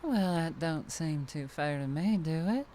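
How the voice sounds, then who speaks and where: A woman's voice: deep voice, animated, warm tone, a little hiss or hum. A woman playing a tall, teasing, predatory vampire trucker with a Southern US accent and a flirtatious drawl, in an ASMR roleplay. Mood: teasing, curious. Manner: slow teasing drawl with chuckles and pet names.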